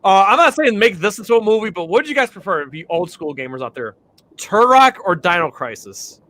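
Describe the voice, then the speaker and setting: A man's voice: high voice, very animated, fairly quick, sharp tone. A man hosting a casual podcast chat about games and movies, talking eagerly and laughing often. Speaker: podcast host.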